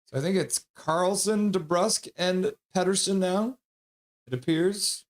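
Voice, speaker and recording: A man with a fairly high voice calls hockey action for a live stream in a quick, offhand way. Between plays he reads chat messages aloud and answers them casually. The commentary stays loose and chatty rather than polished. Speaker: livestream host.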